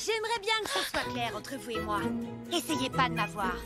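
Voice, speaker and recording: High-pitched headstrong kid. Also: female voice, very animated, normal speed. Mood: bossy, firm. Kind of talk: French animated series dubbing. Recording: loud music behind.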